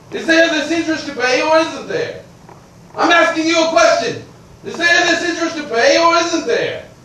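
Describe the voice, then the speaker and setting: An actor playing an irascible middle-aged landowner who rants and blusters, swinging between shouting and grumbling. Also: male voice, extremely high pitch, very echoey, distant mic, a little hiss or hum, poor audio. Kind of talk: theatrical stage acting.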